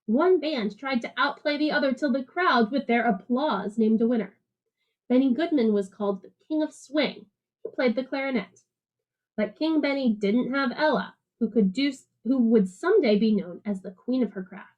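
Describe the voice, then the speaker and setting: A woman reads as a streetwise alley cat who loves jazz, telling a picture-book story. The voice sits high. The delivery is slangy and rhythmic, with playful emphasis. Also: moderate pace, darkish tone, lo-fi sound, close to an everyday voice. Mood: swinging, enthusiastic. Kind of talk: picture-book read-aloud narration.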